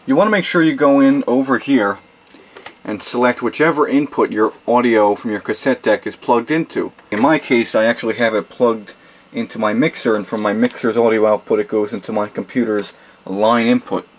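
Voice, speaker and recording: A man giving a methodical step-by-step tutorial at his home desk, talking plainly as he works through the steps. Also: mid-range voice. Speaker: tutorial host. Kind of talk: step-by-step how-to demonstration. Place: home desk with computer and mixer.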